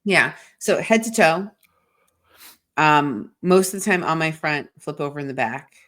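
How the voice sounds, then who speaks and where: Low-voiced, swearing woman chatting casually. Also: lively intonation, unhurried, a bit bright. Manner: rambling and frank, swears often, heavy "like" filler. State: congested from a sinus infection. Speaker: podcast co-host. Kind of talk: casual chat.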